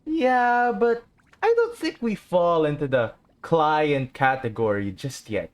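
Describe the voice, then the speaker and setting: A man's voice: a little high, sing-song, slow pace. A man giving casual, joking reactions as a streamer playing through a game. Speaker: gaming streamer. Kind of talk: casual game playthrough commentary.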